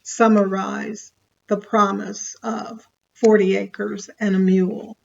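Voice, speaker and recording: A woman storyteller reading a biographical narration in a measured, even way. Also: medium pitch, vocal fry, muffled recording. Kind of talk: read-aloud biographical narration.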